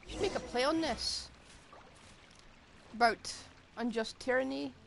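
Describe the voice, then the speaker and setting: Woman game streamer, slightly creaky voice. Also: high-pitched, unhurried, background noise. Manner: wry, joking asides. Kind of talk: casual playthrough commentary. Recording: game music behind.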